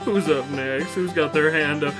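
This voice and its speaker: A man voicing a blunt, foul-mouthed snake rancher, rambling haltingly through an improvised comedy eulogy. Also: on the high side, fairly even intonation, over music, natural voice. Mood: mock-sorrowful. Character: gruff, foul-mouthed male rattlesnake rancher, rambling and bitter.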